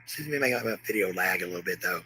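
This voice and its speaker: A man's voice: sits low, expressive, very fast, background noise. A man chatting casually and playfully to his viewers in short remarks. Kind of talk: casual playful livestream chat.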